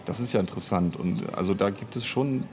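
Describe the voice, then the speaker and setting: Male interview guest, lowish pitch, thoughtful explainer with hesitant phrasing, slight background noise. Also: brisk, darkish tone. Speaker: interview guest, audio drama writer and producer. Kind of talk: casual conversational interview.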